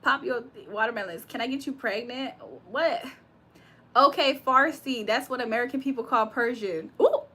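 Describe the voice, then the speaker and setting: A woman's voice: fairly high pitch, moderate pace, bright, forceful tone. A young woman from Virginia hosting a livestream, reading comments aloud and reacting with frequent laughs. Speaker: livestream host reading chat. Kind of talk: casual live chat.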